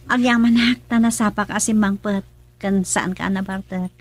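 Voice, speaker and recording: A woman's voice: mid-range voice, fairly even intonation, normal speed, faint music behind, natural voice. An actress playing a young wife who suspects her husband in a radio drama, emotional and accusing, with an Ilocano accent. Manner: pleading then accusing, emotional.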